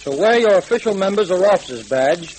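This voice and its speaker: An earnest club president addresses young radio listeners in a high-pitched voice. He is upbeat and formal in 1930s style, on a recording with light hiss. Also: expressive, fast talker, darkish tone, low-quality recording. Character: earnest club president chairing children's meeting.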